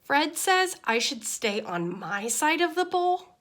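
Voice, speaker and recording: Casting: female reader playing a grumpy little storybook goldfish, slow and dramatic delivery, slightly high pitch. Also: full character voice. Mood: exasperated. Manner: deliberate, dramatic, expressive. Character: small grumpy, easily exasperated pet goldfish narrating a diary. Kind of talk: expressive children's picture-book read-aloud.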